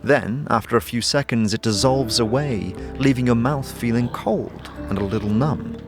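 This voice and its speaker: A man's voice: mid-range voice, moderate pace, music in the background. A man reading scripted, descriptive fantasy narration as the narrator of an audio series.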